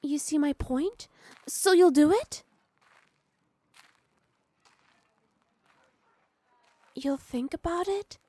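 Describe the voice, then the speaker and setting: Young noble wife played by a woman, doting and playfully bossy, high voice, close dry recording, ASMR roleplay. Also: measured pace, dark tone, dry recording. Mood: teasing, affectionate. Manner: sweet, coaxing, playfully scolding.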